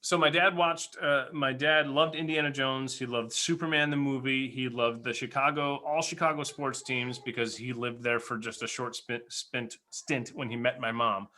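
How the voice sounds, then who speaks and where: A man's voice: medium pitch, fairly even intonation, moderate pace, few pauses, sharp tone. A man in his forties telling stories casually as a podcast host, animatedly acting out remembered dialogue. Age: 40s. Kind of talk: casual reminiscing storytelling.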